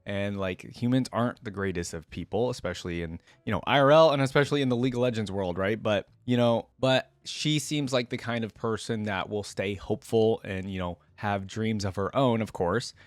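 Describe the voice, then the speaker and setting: A man with a fairly low voice, recorded close and dry. He gives casual, rambling fan commentary as a reaction host, sounding enthusiastic and leaning on "like" as he thinks aloud. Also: fairly quick, few pauses, no echo.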